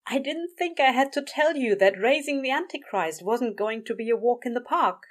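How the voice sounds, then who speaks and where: A woman voicing a gentle, earnest male angel bookseller in an audio story, soothing, polite and a little prim. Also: sits high, sing-song, normal speed, pitched above the performer's own voice. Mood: amused, chiding.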